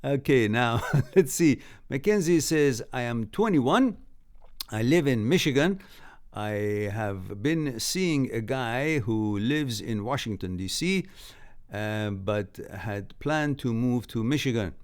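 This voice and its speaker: A man's voice: medium pitch, lively intonation, slow pace. A man whose first language is Farsi hosts a live stream, reading viewer comments aloud and giving blunt, breezy advice, laughing often between sentences.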